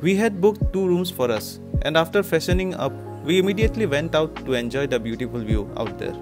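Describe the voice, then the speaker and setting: A man's voice: a little high, lively intonation, fairly quick. A man with an Indian accent reading scripted travel narration as a vlogger. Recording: loud music under voice-over. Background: loud music.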